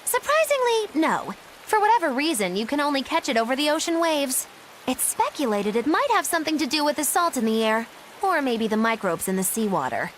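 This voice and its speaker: A woman's voice: high voice, very animated, a little fast, slight background noise. A woman playing a flippant, whiny young witch in a video game, with a melodramatic, grandiose manner. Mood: matter-of-fact.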